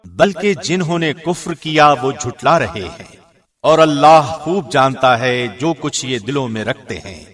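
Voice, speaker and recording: A man with a mid-range voice reads scripture formally. His phrasing is solemn and measured, like a radio presenter's. He sounds slightly away from the microphone. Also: mid-range voice, lively intonation, fairly bright tone, distant mic. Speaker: translation reader, radio host.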